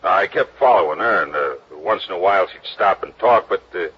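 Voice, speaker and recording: A drawling, deep-voiced drifter. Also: male voice, animated, brisk, husky, exaggerated voice. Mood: easygoing. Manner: drawling, hesitant with uh. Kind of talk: 1950s radio drama acting. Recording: muffled old radio broadcast.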